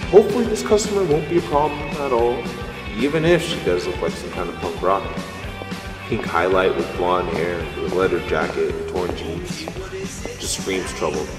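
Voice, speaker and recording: A man playing a sardonic, put-upon young fast-food cashier in an audio drama. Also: low voice, echoey room, big-room sound, over music, natural voice. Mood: sour, dreading. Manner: sarcastic, exasperated. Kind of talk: audio drama acting with inner monologue.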